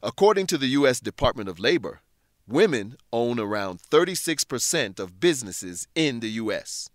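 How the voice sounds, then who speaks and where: A man narrating a scripted English lesson, explaining clearly and deliberately as a teacher. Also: mid-range voice, dry recording, clean studio sound.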